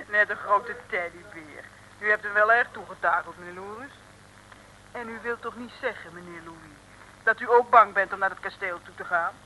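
A woman's voice: mid-range voice, normal speed, pushed and bright, lightly acted, rough recording. An actress playing an eager, girlish young sleuth in an old Dutch radio serial, chirpy, coy and polite. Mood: coy admiring. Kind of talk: early-1960s Dutch radio serial acting. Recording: old radio recording, faint hiss.

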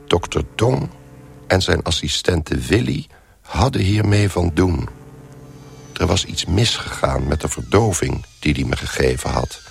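An actor playing a trapped, bewildered man who tells his own ordeal in a radio drama, in a deliberate, musing, wry manner. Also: low-pitched, animated, vocal fry, full character voice. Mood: confident, reasoning. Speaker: narrator and lead character. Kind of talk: radio drama first-person narration.

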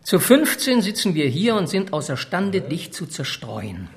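Lively, pleading, flowery courtier. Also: male voice, high voice, a little slow, creaky, full character voice. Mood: mock despair. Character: devoted, theatrically despairing courtier.

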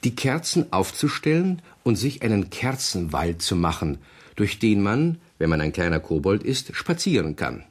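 Male narrator for a children's radio play: deep, raspy tone, animated storytelling delivery. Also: moderate pace.

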